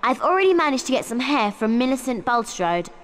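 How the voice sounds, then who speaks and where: A woman voicing a clever, bossy British schoolgirl witch in a video game, brisk and earnest. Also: sits high, big pitch swings, fairly bright tone.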